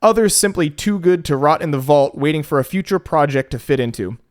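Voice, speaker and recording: Male co-host, fairly high and smooth, loose joking delivery with lots of fillers. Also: animated, brisk. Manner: rambling, joking, frequent fillers. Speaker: podcast co-host. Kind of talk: casual podcast chat.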